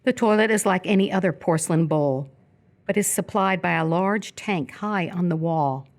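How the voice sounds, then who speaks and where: A woman reading scripted narration for live radio theatre in a measured, descriptive way. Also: lowish voice.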